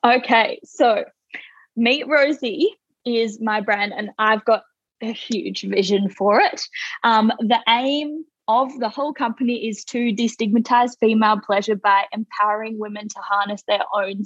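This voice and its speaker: A woman's voice: a little high, measured pace, breathy edge, no room sound, lo-fi sound. A woman in her twenties with an Australian accent, a podcast guest chatting casually with many fillers and restarts. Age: 20s. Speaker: guest, toy company founder.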